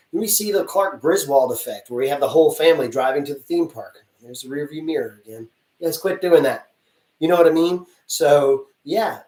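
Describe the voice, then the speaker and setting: A man's voice: fairly high pitch, darkish tone. A man working as a casting director, giving blunt, chatty advice to actors in a live Q&A and acting out little dialogues as he goes. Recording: roomy sound from a distance.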